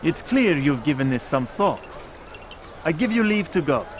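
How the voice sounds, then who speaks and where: A man playing a calm, exacting leader of an assassins' bureau in a video game, speaking formally. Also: a little high, moderate pace, slight background noise. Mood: calm, stern. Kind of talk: dramatic video game acting. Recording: loud music behind the voice.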